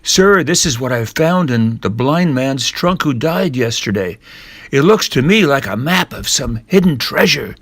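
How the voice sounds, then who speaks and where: A man's voice: mid-range voice, animated, unhurried, fairly bright tone, no room sound. An older man voicing an earnest, polite, adventurous boy in a storybook reading. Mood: respectful.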